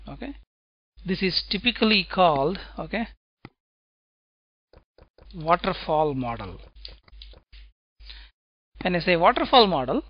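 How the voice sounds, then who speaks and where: A man teaching software testing in a screencast lecture, talking step by step and checking in with "right?" after his points. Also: high-pitched, sing-song, lo-fi sound. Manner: slow, deliberate explaining, tag questions "right?".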